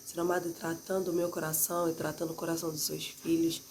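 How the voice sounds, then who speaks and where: A woman leads a Bible lesson with a stuffy, nasal voice, hoarse from a cold and carrying a gravelly rasp. She talks conversationally and keeps checking in with her listeners by tagging "né?" onto her sentences. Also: sits low, level tone, quick pace, darkish tone, a little hiss or hum. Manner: conversational, frequently adds "né?" tag questions. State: congested and slightly hoarse from a cold. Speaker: Bible teacher. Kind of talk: Bible class teaching.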